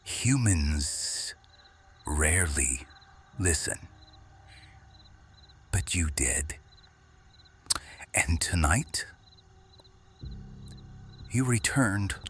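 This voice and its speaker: A man playing a quiet, watchful serpent shifter guardian in an intimate ASMR roleplay, hushed and deliberate, often slipping into whispers. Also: low-pitched, heavily acted. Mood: hushed, brooding.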